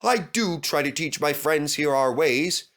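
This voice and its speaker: A man puts on a proud little engine for a storybook. The voice is raised high and swings a lot, earnest and eager in its loyalty. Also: lively intonation, a little slow, a bit bright. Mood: shy, eager. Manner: earnest, eager, indignant when crossed.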